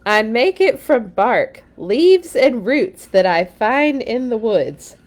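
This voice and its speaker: A woman's voice: a little high, very animated, takes it slowly, voice pushed up. A woman voicing an enthusiastic, confident father bear from a picture book, with a grand, wordy manner.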